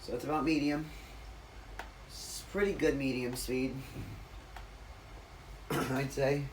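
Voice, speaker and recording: Raspy man rambling on a distant mic. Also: mid-range voice, fairly even intonation, slow pace, slight background noise. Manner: rambling, self-correcting, loses his train of thought. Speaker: ceiling fan collector demonstrating a fan. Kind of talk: casual off-the-cuff video narration.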